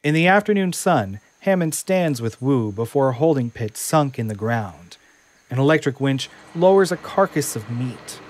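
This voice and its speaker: A man reading stage directions aloud as narrator in an even, descriptive way. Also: mid-range voice, moderate pace. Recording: loud music underneath.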